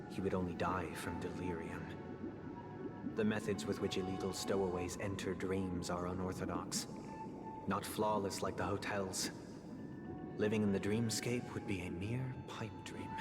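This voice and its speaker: Deep-voiced, solemn melancholy family head. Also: male voice. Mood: somber, apologetic. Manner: measured, solemn, formal, with rhetorical pauses. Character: grave, polite, melancholy male family head recounting tragedies. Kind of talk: theatrical video game monologue. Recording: long reverb, loud music behind. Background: loud game music.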